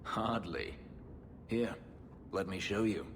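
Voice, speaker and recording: A man voicing a brooding, bitter warrior in a video game, speaking in a measured, grave, dryly sardonic way. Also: lowish voice, long reverb tail, slight background noise. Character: brooding, bitter male warrior from a slave-keeping empire.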